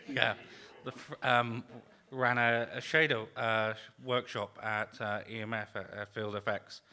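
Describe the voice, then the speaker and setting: A man speaking informally on a panel, halting and often trailing off mid-phrase as he explains. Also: mid-range voice, steady tone, bright, forceful tone. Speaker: demoscene party organizer on a panel. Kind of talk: informal roundtable talk.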